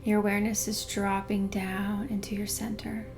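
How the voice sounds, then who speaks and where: A woman guiding a meditation in a soothing, unhurried way, hushed in places. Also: medium pitch, flat delivery. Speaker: meditation guide. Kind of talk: guided meditation narration. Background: background music.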